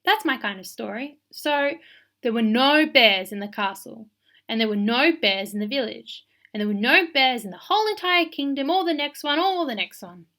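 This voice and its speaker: A high, very animated little girl played by a woman reader, bossy and full of opinions. She tells her own storybook emphatically and theatrically, with a bright edge to every line. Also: normal speed. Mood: excited, pleased. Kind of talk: expressive children's picture book read-aloud.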